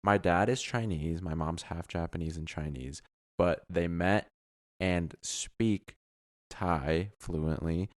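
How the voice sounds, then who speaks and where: A man in his twenties, co-hosting a podcast and telling about his family in a quick, offhand way. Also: sits low, no echo, clean studio sound. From Colorado, USA. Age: 20s. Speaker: podcast co-host. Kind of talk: casual chat.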